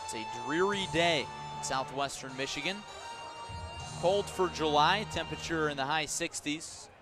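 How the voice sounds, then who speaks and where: A man with a fairly high voice gives live radio play-by-play of baseball. He describes the scene in measured, detailed phrases and gets punchier on the action. Music plays clearly behind him at an outdoor ballpark. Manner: descriptive, unhurried between pitches, punchier on the action. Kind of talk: live radio sports commentary.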